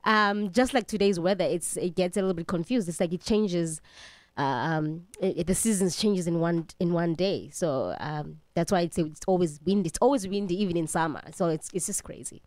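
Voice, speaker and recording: A South African woman, a native Xhosa speaker, talks about her music and hometown on air. Her voice sits fairly low and comes across close and dry. She speaks haltingly, with plenty of "um"s and restarts. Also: no room sound. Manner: warm, halting, many fillers. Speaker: singer and radio guest. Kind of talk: casual radio interview chat.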